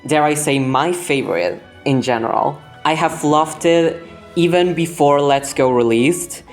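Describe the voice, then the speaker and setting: Low-pitched young woman with a Spanish accent. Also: a little slow. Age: young adult. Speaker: gaming video host. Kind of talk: scripted voice-over narration of gameplay. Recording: background music under the voice. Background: music.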